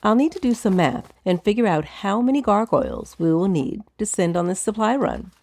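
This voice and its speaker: Woman playing a wry, lively-toned haughty wizard. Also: medium pitch, moderate pace. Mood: amused, dry. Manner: dry, formal, teasing. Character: wry, haughty, composed female wizard who owns a tower. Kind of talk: acted fantasy audio drama.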